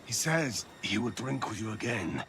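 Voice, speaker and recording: Brief: wry Viking veteran for a game, gravelly, lively inflection, ambient noise behind. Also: male voice, fairly low pitch, normal speed. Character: seasoned Norse warrior, dry humour, unhurried. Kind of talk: video game cutscene acting.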